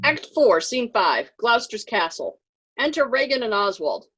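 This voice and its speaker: Woman narrating a play script, raspy, pitch moves around a lot. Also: sing-song, normal speed, a bit bright, highs cut off. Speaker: stage directions narrator.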